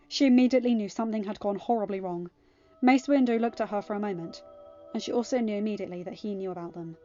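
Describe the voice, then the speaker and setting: A woman narrates scripted fiction in a mid-pitched voice. The recording is a little muffled, with faint music playing behind her. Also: a little mellow. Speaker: audiobook reader of fan fiction. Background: background music.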